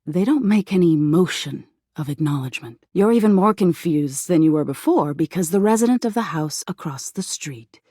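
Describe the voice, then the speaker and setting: A woman playing an eerie, softly menacing embodiment of existential dread in a video game, narrating slowly in a hushed, breathy voice that slips into whispers. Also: low-pitched, expressive, no room sound, clean studio sound. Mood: ominous, hushed. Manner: slow hushed storytelling, lapsing into whispers.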